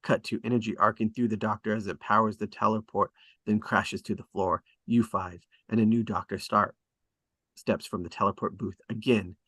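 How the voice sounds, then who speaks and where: A man reads stage directions for a drama table read. His voice sits fairly low, and the sound is very dry, with hardly any room around it. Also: level tone, normal speed, pushed and bright, dry recording. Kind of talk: scripted narration at a table read.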